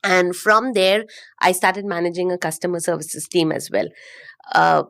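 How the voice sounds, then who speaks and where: A middle-aged woman with an Indian English accent speaks in a fairly low voice. She talks as a guest in a relaxed interview, pausing often with fillers and small laughs. Her delivery is gentle and hesitant. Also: gravelly. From Mumbai, India. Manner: warm, hesitant, many fillers and soft laughs. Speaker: guest, connection coach. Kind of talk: casual interview conversation.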